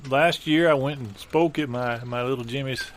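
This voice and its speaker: Guy doing a folksy country dad, middle pitch, deadpan comedy, bit of noise behind him. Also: normal speed, close to an everyday voice. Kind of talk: deadpan improvised audio comedy.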